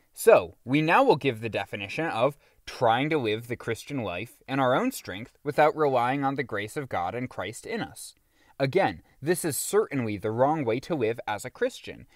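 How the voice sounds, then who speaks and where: A man narrates a religious book in a lively, varied delivery at a middling pitch. His phrasing is deliberate and emphatic, stressing key words. The sound is dry, with very little room in it. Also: no echo. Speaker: audiobook narrator.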